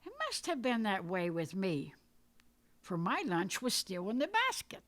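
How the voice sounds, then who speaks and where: A woman narrates a poetic story in a dramatic reading style. Her pace is very slow and deliberate, and her pitch sits in a middle range for a woman. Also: wildly swooping pitch, fairly bright tone. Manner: measured, deliberate, storytelling delivery. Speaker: poet reading own work.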